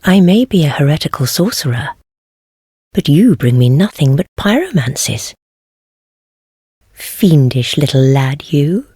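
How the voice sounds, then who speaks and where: A woman playing a wry, world-weary heretical witch in a fantasy game, speaking in measured, archaic phrases with gentle teasing. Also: low-pitched, big pitch swings, no room sound, clean studio sound, exaggerated voice. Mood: amused, teasing. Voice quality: husky, breathy. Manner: measured, archaic formal speech, softly teasing. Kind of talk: scripted fantasy game voice acting.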